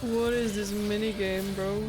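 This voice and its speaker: A woman's voice: medium pitch, little pitch movement, a little slow. A woman giving casual, blunt gameplay commentary full of slang and swearing, as a streamer reacting to a game. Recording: game music behind the voice. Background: video game music and sound.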